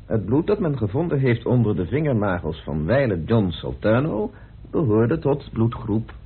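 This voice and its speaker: Very husky, deliberate radio-drama man. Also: on the low side, very animated, exaggerated voice. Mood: measured, pointed. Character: measured, probing man laying out evidence, firm and principled. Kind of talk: radio play acting. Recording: muffled, narrow old radio recording.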